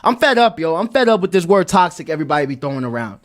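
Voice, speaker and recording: A man's voice: on the high side, sing-song, racing. A man co-hosting a podcast who rants bluntly and swears casually. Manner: blunt, ranting, swears freely. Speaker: podcast co-host. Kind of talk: casual chat.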